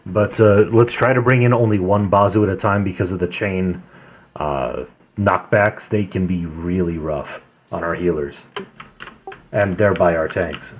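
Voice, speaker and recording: A man with a deep voice, leading a game raid over voice chat in a calm, instructive way. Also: a little slow, a little hiss or hum. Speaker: raid leader. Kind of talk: live-streamed game voice chat.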